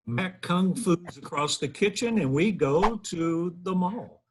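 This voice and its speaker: A man's voice: high-pitched, lively intonation, slow, noisy background. A man reading out stage directions at a sitcom table read, in a plain narrating manner.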